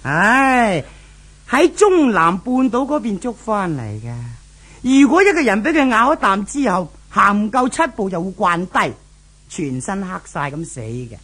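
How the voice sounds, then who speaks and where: A menacing brothel boss in a seventies Hong Kong Cantonese radio serial. The delivery is sneering and theatrical, swinging widely in pitch. Grunts and sharp questioning barks punctuate the bullying. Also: male voice, big pitch swings, a little slow, heavily acted. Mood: gloating threat. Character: cruel, menacing brothel boss who bullies captives. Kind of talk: 1970s radio drama acting.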